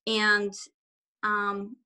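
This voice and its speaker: A woman's voice: medium pitch, completely flat delivery, extremely slow, sharp tone, smooth. A woman whose first language is German and Swiss German, chatting casually as a guest on a video call, rambling a little with restarts. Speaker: guest artist.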